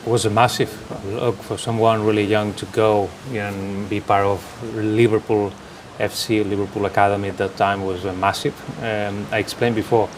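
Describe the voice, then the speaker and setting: Earnest coach. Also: male voice, on the low side, little pitch movement, moderate pace, poor audio. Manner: earnest, slightly broken English with repeated restarts. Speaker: national team assistant coach, guest. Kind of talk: interview answers. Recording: background noise from air conditioning.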